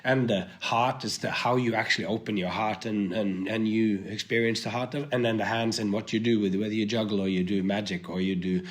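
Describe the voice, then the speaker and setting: A man with a cold and a hoarse voice, thinking aloud as a guest in a podcast conversation, with many restarts. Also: fairly low pitch, fairly even intonation, a little fast, few pauses. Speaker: guest, performer.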